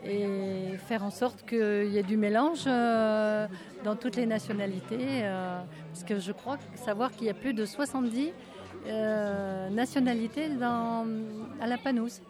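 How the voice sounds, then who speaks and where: An older retired woman answering interview questions carefully, with pauses and fillers, at an outdoor neighbourhood party. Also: on the high side, a crowd in the background. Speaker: retired resident volunteer. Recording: outdoor party with music behind.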